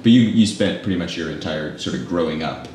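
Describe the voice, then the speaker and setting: A man with a very low voice hosting a relaxed chat, talking fast and rambling through his questions. He sounds set back from the mic in a strongly echoing room. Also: slight background noise. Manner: chatty, rambling, fillers like "like". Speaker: coffee shop host. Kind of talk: casual interview. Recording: echoey room, mic at a distance.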